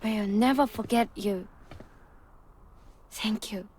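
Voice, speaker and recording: A woman playing a tender, sincere young woman in a cinematic video game, speaking softly and earnestly. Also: mid-range voice, takes it slowly, background noise, lightly acted. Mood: grateful. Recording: music behind the voice.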